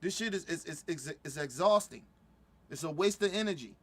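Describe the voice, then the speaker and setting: A man's voice: fairly high pitch, lively intonation, brisk, fairly bright tone. A middle-aged man giving heated, profane reaction commentary, repeating himself and restarting his sentences as he goes. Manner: heated, repetitive, stumbling restarts.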